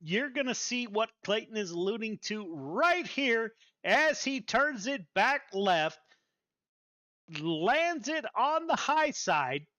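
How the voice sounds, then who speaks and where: A man's voice: extremely high pitch, lively intonation, slow pace, bright, forceful tone, no echo. A man in his fifties hosting a sim racing broadcast, chatty, folksy and enthusiastic. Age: 50s. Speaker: race broadcast host. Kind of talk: sim racing commentary and interview.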